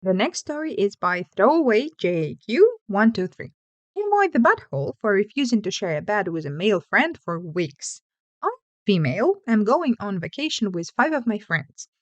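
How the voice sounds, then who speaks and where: A woman hosting and reading online stories aloud in an expressive, playful way with casual asides. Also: mid-range voice, normal speed, dry recording, highs cut off.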